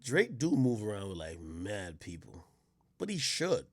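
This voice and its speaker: A man's voice: lowish voice, sing-song, unhurried, dry recording, clean studio sound. Profane man chatting to his stream. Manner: loose, profane, talks straight to chat. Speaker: live streamer. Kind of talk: casual live-stream chat.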